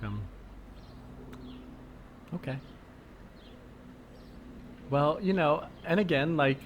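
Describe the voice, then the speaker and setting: A man's voice: mid-range voice, close to an everyday voice. A man playing an awkward, polite, peace-minded city liberal, tentative and diplomatic in a conversational improvised comedy scene. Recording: faint noise, added ambient soundscape. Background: faint ambient sound effects.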